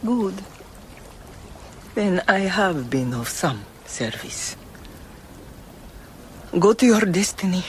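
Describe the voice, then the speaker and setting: A haunted, haughty villainess in a radio drama. Her delivery is very slow and theatrical, with wide swings of pitch, brooding and fatalistic over faint noise. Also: big pitch swings.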